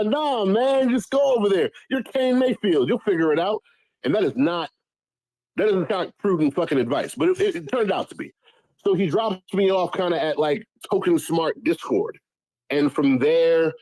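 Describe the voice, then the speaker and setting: Brief: male guest, sarcastic profane storyteller, slightly high voice, dry close sound. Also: a little mellow, dry recording, poor audio. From Guyana. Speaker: guest musician. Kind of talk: casual chat on a live audio show.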